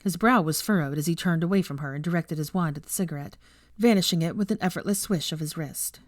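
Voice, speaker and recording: A woman's voice: deep voice, fast talker, dry recording, studio quality. A woman reading fiction aloud as a scripted story narrator.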